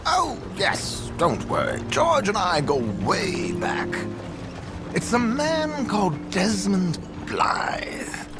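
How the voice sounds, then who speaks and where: Flamboyant, high-voiced gentleman schemer. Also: big pitch swings, slow, music in the background, full character voice. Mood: assured, scheming. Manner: theatrical, grandiose, coaxing. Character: flamboyant, scheming male gentleman con artist. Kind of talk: video game cutscene acting.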